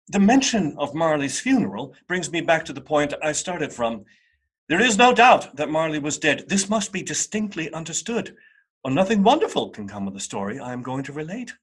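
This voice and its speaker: A man narrating a radio play as a theatrical storyteller, wry and emphatic, with a husky voice. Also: fairly high pitch, fairly bright tone.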